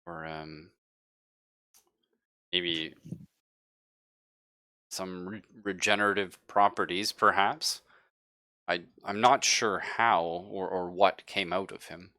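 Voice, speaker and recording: A man playing a hesitant scientist in a tabletop sci-fi horror roleplay, explaining haltingly with stammers and evasions. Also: low voice, fairly even intonation, bright, forceful tone, dry recording, heavily acted. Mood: uncertain. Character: evasive, halting male scientist, recently woken from cryosleep. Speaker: role-player in a sci-fi horror game. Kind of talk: improvised in-character tabletop roleplay.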